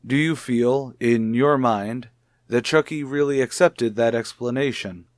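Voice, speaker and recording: A man acts a formal child psychologist in a vintage radio drama. His voice is mid-pitched and recorded very dry. He questions calmly and deliberately, with a probing clinical manner. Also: no room sound, natural voice. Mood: clinical, patient. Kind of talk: vintage radio soap opera acting.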